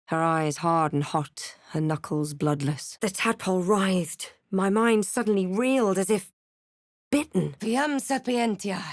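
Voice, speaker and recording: A woman voicing a wry, low-toned adventurer heroine. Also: no room sound, clean studio sound, natural voice. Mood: forceful incanting. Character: female adventurer hero, wry, snarky, resolute spellcaster. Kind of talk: fantasy game voice lines: narration, remarks, incantations.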